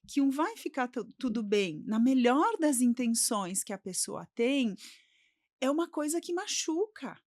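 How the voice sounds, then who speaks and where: A middle-aged woman's voice, a little high for her sex, recorded dry with almost no room sound. She is a podcast guest from Brazil telling her own story thoughtfully, often tagging her sentences with "né?". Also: sing-song, a little slow, a little mellow, no echo. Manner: reflective, often ending with "né?". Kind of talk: personal storytelling.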